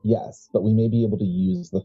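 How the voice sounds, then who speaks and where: A very dark, low-voiced heir to an occult family, played by a man at a game table, who weighs each phrase with halting deliberation. He is proud and coldly calculating. Music sits behind the muffled sound. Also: fairly even intonation, slightly rough. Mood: proud, scheming. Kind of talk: improvised tabletop horror roleplay.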